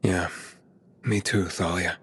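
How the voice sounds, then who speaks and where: Plain-spoken survivor, very deep voice, low-key candid delivery, game cutscene. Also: male voice, steady tone, slow pace, fairly bright tone, husky, full character voice. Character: young male survivor, plain-spoken and honest.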